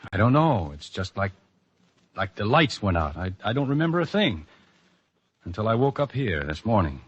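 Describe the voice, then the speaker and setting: A man's voice: on the low side, sing-song, normal speed, breathy edge. An actor playing an agitated mental patient who insists he is innocent, in 1940s radio drama style. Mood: dazed, uneasy. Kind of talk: 1940s radio drama acting, first-person narration. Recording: dull old radio recording with music.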